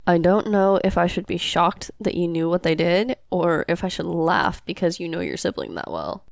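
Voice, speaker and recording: A woman's voice: on the low side, animated, normal speed, gravelly, no room sound, studio quality. A woman playing an easygoing, devoted girlfriend in an audio roleplay, casual and reassuring. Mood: amused, casual. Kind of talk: amateur audio roleplay acting.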